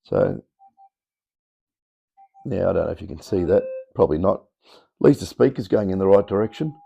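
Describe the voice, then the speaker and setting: A middle-aged Australian man with a croaky, worn voice from talking all day, rambling casually as he demonstrates a build in his home workshop. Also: deep voice, lively intonation, normal speed, mellow, muffled recording, soft background music. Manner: rambling, offhand, chatty explaining. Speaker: electronics hobbyist host. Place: cluttered home workshop, indoors. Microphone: camera mic on tripod.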